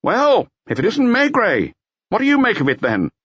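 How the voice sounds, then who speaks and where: A self-important male police chief in an audiobook acted out by a man, pitched well above the narrator. He is brisk, officious and sure that he is in charge, with lively swings in a dry, slightly dull recording. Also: breathy edge, no room sound, full character voice. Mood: inquisitive.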